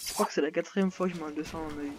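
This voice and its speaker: Relaxed chatty gamer guy. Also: a little high, very fast, noisy background. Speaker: fellow player. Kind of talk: casual gaming chat.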